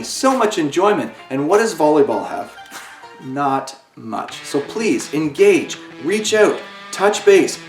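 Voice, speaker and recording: Guy doing a game review, higher voice, kinda far from the mic in an echoey room w faint music. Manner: earnest and impassioned, with pleading appeals. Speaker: video game reviewer and channel host. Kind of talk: spoken video game review. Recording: roomy echo, away from the mic, faint music.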